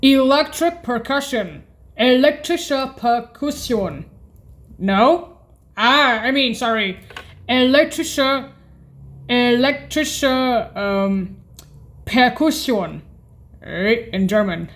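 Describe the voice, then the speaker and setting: A woman presenting a halting instructional demonstration, hesitant and often correcting and repeating herself. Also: a little high, animated. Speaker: music practice presenter.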